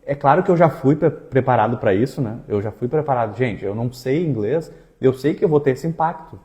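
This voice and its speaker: A man with a southern Brazilian accent and a dark, mellow voice of average pitch. He chats casually, sprinkling his stories with slang and little tag questions. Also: expressive, a little fast, muffled recording. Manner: colloquial, uses slang and frequent tags. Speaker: exchange agency staff member co-hosting a talk. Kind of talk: casual conversation, personal anecdotes.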